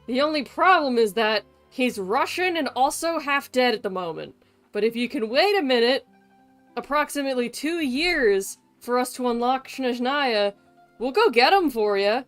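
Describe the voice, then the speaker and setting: A woman livestreaming a game, giving casual, joking commentary with flippant, dry humour. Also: on the high side. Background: game music.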